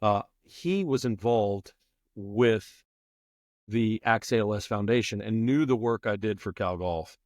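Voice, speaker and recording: A man's voice: lowish voice, measured pace, no room sound, studio quality. A middle-aged man talking as a podcast guest in an emphatic, conversational way, repeating phrases for stress. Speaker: podcast guest, former public address announcer. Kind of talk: spirited conversational interview.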